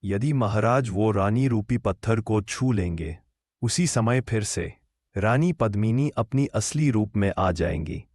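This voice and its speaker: Man playing a low, husky storytelling sage. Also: expressive, unhurried, a little mellow, no room sound, studio quality. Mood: grave, explanatory. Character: grave male holy man recounting a tale. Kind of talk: Hindi cartoon story narration.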